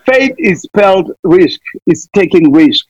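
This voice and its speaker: Man, fervent preaching, pleading repeats, highish voice, muffled dry recording. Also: lively intonation, measured pace, dry recording. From Kenya. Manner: impassioned, repeats pleas, wordplay. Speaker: missionary preacher guest. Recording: dull lo-fi dry sound.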